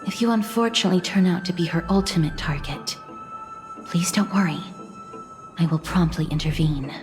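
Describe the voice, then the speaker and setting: A woman's voice: fairly low pitch, normal speed, over music, close to an everyday voice. An actress playing a polite, guarded, protective young woman in a video game, with careful formal speech. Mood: guarded, earnest.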